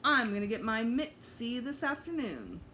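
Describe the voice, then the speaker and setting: A woman's voice: a little high, very animated, moderate pace, pushed and bright, slight background noise, pitched above the performer's own voice. A woman voicing an eager, stubborn little boy in a children's book read-aloud.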